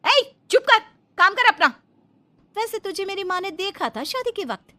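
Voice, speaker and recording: A woman voicing a blunt, sharp-tongued young daughter-in-law in a comic cartoon story, brazen and mocking. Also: extremely high pitch, expressive, normal speed, pitched above the performer's own voice, exaggerated voice. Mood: bossy, snapping.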